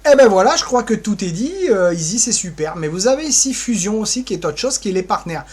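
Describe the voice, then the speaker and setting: A man's voice: sits high, lively intonation, fast, a little mellow, husky. A man giving an informal website walkthrough, hesitant and rambling, with playful asides. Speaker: presenter.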